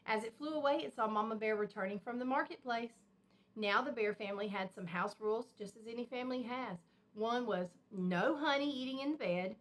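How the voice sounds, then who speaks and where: A woman reading a children's storybook aloud like a teacher, expressive and emphatic, with simple explanatory asides. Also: a little high, fairly quick.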